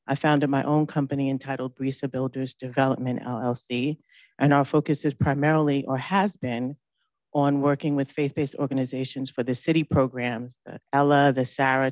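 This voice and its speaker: A woman's voice: very deep voice, a little mellow, dry recording, dull audio. A woman speaking on a panel as a company chief, composed and fluent. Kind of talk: panel talk. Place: conference room.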